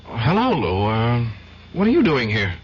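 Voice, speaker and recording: An actor in a 1970s radio drama playing a principled, stubborn young lawyer who speaks earnestly and defiantly. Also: male voice, mid-range voice, wildly swooping pitch, a little slow, breathy edge, full character voice. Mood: uneasy, firm. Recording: dull, noisy 1970s radio broadcast.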